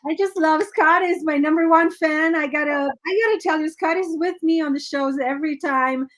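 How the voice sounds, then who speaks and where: A woman's voice: high voice, brisk. A woman hosting a live-streamed interview show, effusive and greeting viewers by name. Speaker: host.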